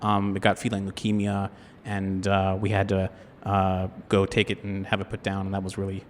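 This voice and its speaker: A man playing an earnest, sheltered young acting student in an improvised audio role-play, stammering, halting and apologetic. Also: low voice, completely flat delivery, a little hiss or hum, natural voice. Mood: unsure, sheepish. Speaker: role-playing game player.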